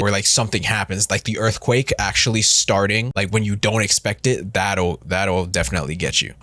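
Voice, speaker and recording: A man's voice: fairly low pitch, fairly bright tone, no room sound. A man giving casual, unscripted commentary as a video creator, talking bluntly with slang and swearing.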